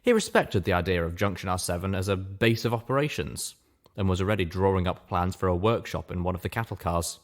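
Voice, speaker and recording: A man's voice: sits low, brisk. A man reading a first-person story aloud as scripted narration. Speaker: reader of fan fiction show.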